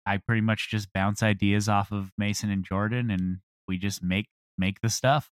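A man's voice: on the low side, level tone, moderate pace, clean tone, no room sound, studio quality. A man who acts and writes, talking casually in an interview from home. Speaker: voice actor and writer.

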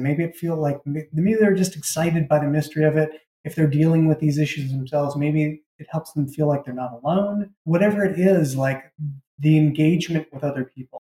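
Mellow, higher-pitched man in echoey room. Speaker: interview guest, fiction writer. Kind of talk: reflective conversational interview answers. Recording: roomy, echoey pickup.

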